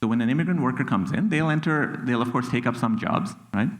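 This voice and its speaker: A man's voice: medium pitch, fast talker. A middle-aged man with a Bangladeshi accent, explaining research on a panel and often checking in with "okay?" and "right?". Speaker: economics professor, panelist. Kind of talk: panel discussion. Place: stage at a public event.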